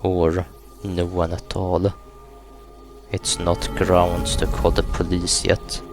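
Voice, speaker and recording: Male horror game narrator, deep and even-toned, measured reading of on-screen text, clear game music underneath. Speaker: gaming YouTuber reading a visual novel aloud. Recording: music behind the voice.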